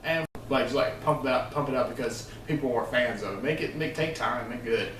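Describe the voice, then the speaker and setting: A man's voice: medium pitch, fairly quick, a bit bright. A man chatting casually and unscripted about his opinions as a video co-host, rambling and emphatic. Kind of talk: casual unscripted gaming discussion. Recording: roomy, distant mic, faint noise.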